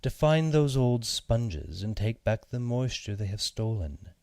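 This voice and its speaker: A man voicing a crafty, politely insistent cat in a children's fairy tale, speaking in measured phrases. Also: mid-range voice, lively intonation, a little mellow, no echo, clean studio sound.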